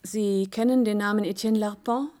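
Actress as nervous, halting young femme fatale. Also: female voice, mid-range voice, steady tone, warm tone, close to an everyday voice. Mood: anxious, confiding. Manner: halting, strained. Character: nervous, elegant young female model under suspicion. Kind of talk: 1990s German radio crime drama.

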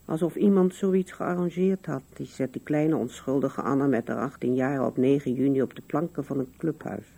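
Low, creaky old woman. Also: normal speed, mellow, exaggerated voice. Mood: reflective, amused. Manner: musing, talks as if to absent loved ones. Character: old widowed woman, wistful and musing. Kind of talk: radio drama monologue.

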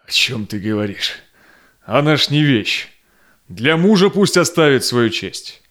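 An actor playing an honourable, earnest explorer and tender suitor in a verse audio drama, with a measured delivery. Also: male voice, mid-range voice, very animated, fairly bright tone. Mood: indignant.

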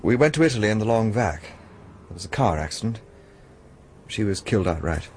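Male actor as cautious educated man, British accent, radio drama, hesitant, reserved, low-pitched and lively, breathy-rough tone. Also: brisk, a little hiss or hum, lightly acted. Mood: subdued, reticent. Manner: guarded, hesitant, terse. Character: wary, reserved educated Englishman investigating a sinister scheme.

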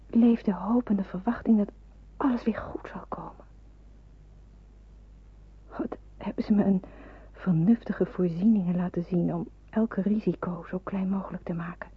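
A woman playing a reflective, polite young person in wartime hiding in a radio drama, husky and hushed. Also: mid-range voice. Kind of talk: radio drama acting with first-person narration. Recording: muffled, phone-like old radio recording.

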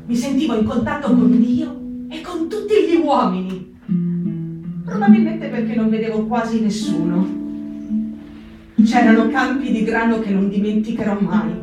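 An actress playing a restless, introspective young woman diarist in a reflective, measured dramatic stage reading. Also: on the high side, animated, close to an everyday voice. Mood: nostalgic, rapt. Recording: echoey room, distant mic, music behind. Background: plucked guitar music.

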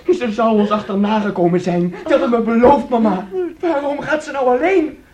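An actor in a radio drama playing an excitable, childlike son who clings to his mama, emotional and pleading. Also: very high-pitched, moderate pace, echoey room, crowd noise. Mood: frantic, accusing. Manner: pleading, emotional, swings from glee to anguish.

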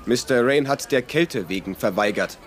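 An actor playing a stiff, dutiful army captain in a radio drama, formal and clipped. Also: male voice, medium pitch, expressive, moderate pace, a little hiss or hum, natural voice. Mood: formal firmness.

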